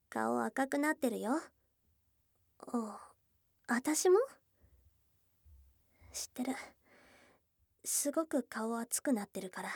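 A woman voicing a shy, smitten, tomboyish young goblin girl in an intimate ASMR voice drama, halting and flustered, with soft murmurs. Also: high-pitched, husky, no room sound, studio quality, exaggerated voice. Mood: shy, tender. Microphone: close studio microphone, dry.

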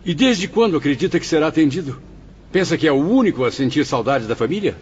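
An actor playing a formal, pointed patient in a radio drama, with theatrical delivery. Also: male voice, on the high side, lively intonation, quick pace, close to an everyday voice. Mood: indignant, sarcastic. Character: sarcastic male patient, formal and indignant. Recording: muffled audio with faint noise.

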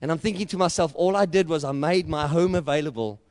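Passionate South African preacher, high voice. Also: male voice, fast, dry recording, clean studio sound. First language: Afrikaans. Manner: passionate preaching, rising to loud emphatic peaks; repetitive rhetorical build-ups. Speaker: pastor. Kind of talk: sermon.

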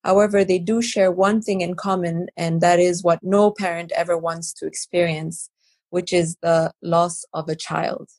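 A woman with a fairly low voice hosts a podcast interview. She speaks conversationally, prompting gently, and the sound is dry with little room in it. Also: little pitch movement, normal speed, no echo. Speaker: podcast host.

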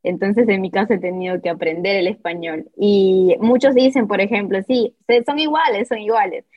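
Female panel guest, native Italian speaker, conversational explainer, mid-pitched, on a close, slightly muffled recording. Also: moderate pace, no room sound. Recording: slightly dull, dry audio.

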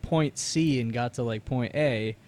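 A man's voice: medium pitch, measured pace, darkish tone. A man in his thirties interviewing casually, with a rambling, slangy way of feeling out his sentences. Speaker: interviewer, musician.

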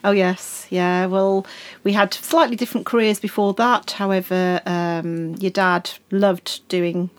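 A woman's voice: mid-range voice, fairly even intonation, unhurried. A middle-aged woman guesting on a podcast, chatting in an easygoing way with short agreeable replies. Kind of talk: casual chat. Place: indoors at a countryside house.

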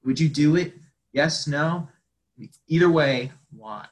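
A man's voice: fairly high pitch, slow. A young man co-hosting a casual podcast chat, rambling and self-mocking as he asks questions. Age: young adult. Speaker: podcast co-host.

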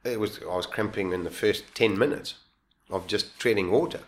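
A man with a fairly low voice tells his story in a relaxed interview. He talks animatedly, stumbling and restarting mid-sentence and laughing at himself now and then. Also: moderate pace. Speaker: guest telling a survival story.

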